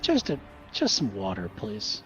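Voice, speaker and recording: A man playing a small, mild, polite male adventurer who speaks softly and hesitantly in a tabletop roleplay. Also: on the low side, wildly swooping pitch, unhurried, muffled recording, soft background music, full character voice. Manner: soft, hesitant, apologetic.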